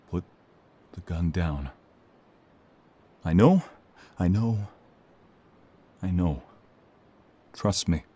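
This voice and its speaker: An actor playing a devoted, protective mobster husband, earnest and intense, with a husky voice in a dramatic audio role-play. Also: male voice, low voice, mellow, heavily acted. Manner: slow, intense, emotional pleading.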